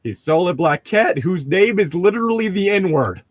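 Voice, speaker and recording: Male co-host, casual and sardonic, very animated pitch swings, exasperated storyteller. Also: normal speed, background noise. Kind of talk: casual chat.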